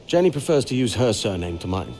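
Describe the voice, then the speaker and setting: A man's voice: on the low side, sing-song, a little fast, a little mellow. An actor playing a father with dry humor and affection, in a video game cutscene. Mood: wry. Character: proud, playful father at a posh event. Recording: clear music behind.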